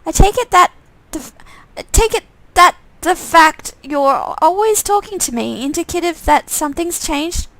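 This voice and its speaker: A woman's voice: very high-pitched, expressive, measured pace, hardly any pauses, fairly bright tone, dry recording, voice pushed up, exaggerated voice, low-quality recording. A woman voicing a wary visual novel heroine, pointed and questioning in her delivery. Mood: wary, teasing.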